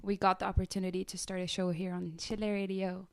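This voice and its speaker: A young woman co-hosts a radio talk show in a casual, conversational style. Her voice sits at a medium pitch and is smooth and clear. The sound is dry, with hardly any room around it. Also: little pitch movement, quick pace, dry recording. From Syria and the Czech Republic.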